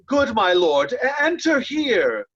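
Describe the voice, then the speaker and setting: Lady as faithful nobleman in shakespeare, slow earnest urging, kinda muffled lo-fi audio. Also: a little high, very animated, a bit bright, full character voice. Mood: earnest, pleading. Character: loyal, pleading nobleman attending an old king. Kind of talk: amateur Shakespeare reading.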